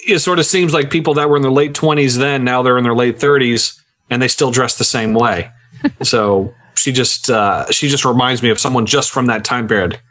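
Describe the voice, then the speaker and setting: A man on a podcast panel, explaining his points casually in a measured way. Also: mid-range voice, fairly bright tone. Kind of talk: casual discussion.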